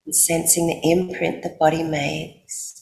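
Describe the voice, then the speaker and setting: Very slow, low-voiced Australian woman guiding meditation. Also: level tone, creaky, darkish tone. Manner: soothing, unhurried, with long pauses. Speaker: yoga nidra guide. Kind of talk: guided meditation for sleep. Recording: roomy echo, faint background noise.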